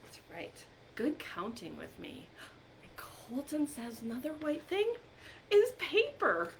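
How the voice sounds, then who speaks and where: A woman leading an interactive storytime for young children as a librarian, encouraging them with questions and pauses for answers, recorded on a computer microphone. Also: sits high, wildly swooping pitch, slight background noise. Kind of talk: interactive read-aloud and singing for young children.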